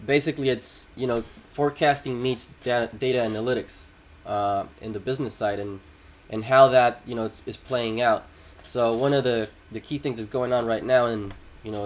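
Man hosting a podcast, laughing often. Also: mid-range voice. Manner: laughs often, rambling restarts. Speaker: podcast host. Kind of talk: conversational interview. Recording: dull lo-fi sound.

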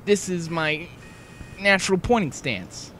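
Cocky young cartoon braggart, male actor: motormouth, animated swings, higher voice. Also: unhurried, slight background noise. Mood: cocky, showing off.

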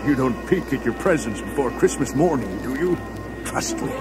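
Guy doing a wily grinning salesman type, high swoopy voice, storybook drama, music behind. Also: lively intonation, moderate pace, close to an everyday voice. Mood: wheedling, gleeful. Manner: theatrical, coaxing patter. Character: wily, grinning salesman-like alien game inventor. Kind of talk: children's read-along storybook acting.

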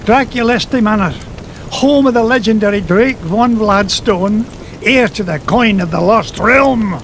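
An older man playing a feisty, miserly old Scottish duck adventurer in a cartoon game, with a raspy voice. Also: high voice, unhurried, fairly bright tone, a little hiss or hum. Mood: proud, brisk. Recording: game cutscene with music underneath.

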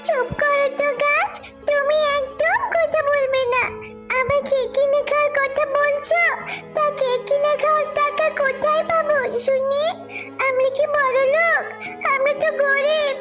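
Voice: very high voice, little pitch movement, measured pace, music in the background. A performer voicing a poor, whiny and eager little girl in a children's cartoon story. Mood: upset, scolding.

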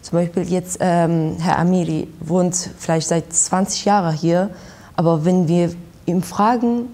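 A young woman from Iran, a guest in a studio interview, speaking haltingly and searching for her words. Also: on the low side, fairly even intonation, mellow. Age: young adult. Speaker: guest, activist. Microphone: lapel.

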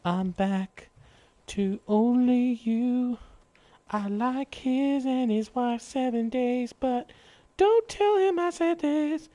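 A man's voice: extremely high pitch, takes it slowly, dark tone, no room sound. A man doing casual live gameplay commentary, exclaiming, swearing and muttering jokey remarks to himself. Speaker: video game live streamer.

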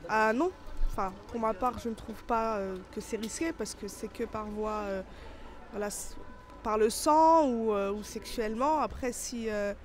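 A woman's voice: fairly high pitch, unhurried. A young woman answering a vox pop in a building lobby, hesitant, with many fillers, into a handheld mic. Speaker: passer-by interviewed. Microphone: handheld mic held by interviewer.

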